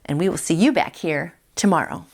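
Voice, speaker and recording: A woman's voice: fairly low pitch, vocal fry. A woman hosting a morning devotional, reading a passage and adding chatty asides in her own words. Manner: warm, chatty asides, slows for scripture. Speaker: devotional host. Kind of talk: reading a daily devotional with casual commentary.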